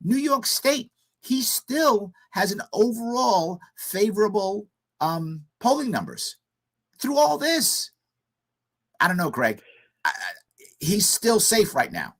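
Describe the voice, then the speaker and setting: A man hosting a call-in radio show with a hoarse, husky voice. He talks in short, emphatic bursts, and his pitch swings widely as he drives each point home. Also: big pitch swings, slow, a bit bright. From United States. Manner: emphatic, repetitive political commentary. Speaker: radio talk show host.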